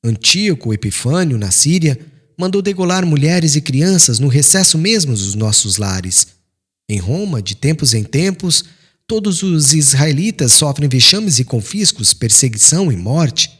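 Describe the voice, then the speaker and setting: Gentle young Hebrew man voiced by a male narrator, respectful and earnest, slightly rough voice, expressive audiobook pleading. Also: a little high, moderate pace, voice pushed up. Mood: earnest persuasion. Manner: earnest, humble, imploring. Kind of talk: dramatized audiobook reading.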